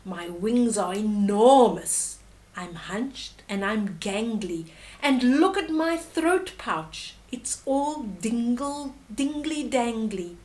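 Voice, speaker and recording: A woman's voice: on the high side, lively intonation, slow pace, a little mellow. A woman voicing a grouchy, gangly, slobbish marabou stork in a storybook, emphatically. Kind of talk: storybook character reading.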